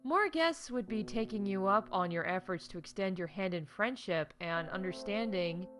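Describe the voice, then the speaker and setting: A woman's voice: medium pitch, animated, normal speed, fairly bright tone, soft background music, lightly acted. Wry, snarky woman acting as female theme park announcer. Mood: dry, mocking. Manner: wry, sarcastic, breaking off into asides. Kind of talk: comedic scripted announcements with candid asides.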